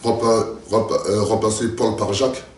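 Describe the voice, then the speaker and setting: A man's voice: on the low side, fairly even intonation, moderate pace, a little mellow, roomy sound, recorded from a distance, slight background noise. A man from France answering interview questions in an emphatic, repetitive, speechlike way. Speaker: local political activist and candidate.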